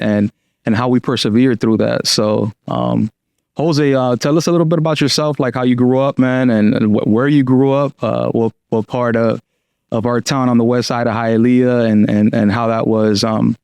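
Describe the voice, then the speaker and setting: A man's voice: mid-range voice, vocal fry, darkish tone, clean tone, no room sound. A man hosting a podcast, asking long, rambling questions full of fillers. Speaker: podcast host. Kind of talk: casual podcast interviewing.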